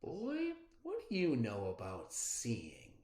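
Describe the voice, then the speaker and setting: A storybook reader voicing a brisk, folksy grandmother who corrects her grandson. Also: mid-range voice, huge pitch swings, a little mellow, reverberant. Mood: firm, wise. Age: older. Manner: folksy, emphatic, no-nonsense.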